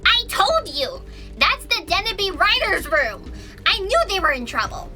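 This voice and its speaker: A woman's voice: extremely high pitch, very animated, measured pace, bright, forceful tone, faint music behind, full character voice. A woman playing a frantic, bossy character with an urgent, exasperated manner in a comic sci-fi audio drama. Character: frantic, bossy, self-important woman fretting over writers.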